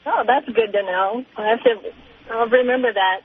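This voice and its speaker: A narrow, tinny telephone recording of a middle-aged woman. Her voice is high and husky, and she talks casually as a caller, hesitating and rambling as she goes. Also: normal speed. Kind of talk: casual conversational phone call. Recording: tinny narrow phone line.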